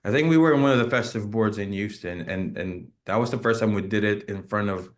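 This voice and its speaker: Man, lower voice, relaxed loose talk with restarts. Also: fast. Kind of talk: casual chat.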